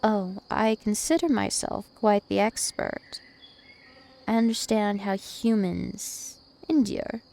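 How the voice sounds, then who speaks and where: A woman's voice: mid-range voice, slow pace, creaky, warm tone. A woman voicing a dominant, taunting, ancient vampire princess in an ASMR roleplay, with haughty, teasing menace. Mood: coolly threatening. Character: two-thousand-year-old vampire princess, female, dominant, taunting, imperious.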